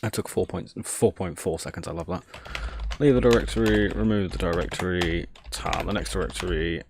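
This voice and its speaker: A man's voice: low-pitched, fairly quick, vocal fry, noisy background. A man casually thinking aloud on a live tech stream, jokey and self-deprecating, muttering each step as he works.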